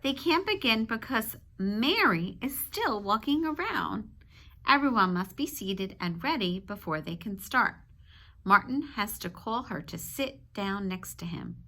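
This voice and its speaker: A woman teacher reading a children's book aloud, pausing to explain simply and check in with her young listeners. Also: medium pitch, big pitch swings, pushed and bright. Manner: instructive, addressing young children with check-in questions.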